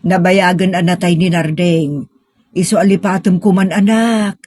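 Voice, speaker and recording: A woman's voice: fairly low pitch, steady tone, unhurried, a little mellow, breathy edge, dry recording, clean studio sound. A woman playing a middle-aged, money-minded mother in an audio drama, coaxing and insisting with her grown daughter. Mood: insistent, pleased. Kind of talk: sentimental audio drama acting.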